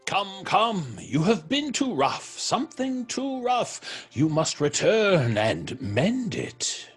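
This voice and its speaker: Slow-spoken, suave stage actor. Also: male voice, sits high, sing-song, husky, faint music behind, full character voice. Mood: declaiming. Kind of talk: dramatic audio fiction acting.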